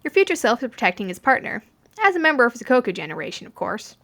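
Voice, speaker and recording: A woman's voice: on the high side, big pitch swings, quick pace, a bit bright. A woman voicing a teasing male detective, a smirking troublemaker with a chirpy, sing-song delivery in a fan story.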